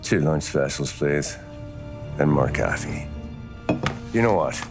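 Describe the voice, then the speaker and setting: An actor playing a hard-nosed, skeptical detective in a game, dry and probing. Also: male voice, a bass voice, lively intonation, takes it slowly, over music, full character voice. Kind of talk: cinematic game dialogue.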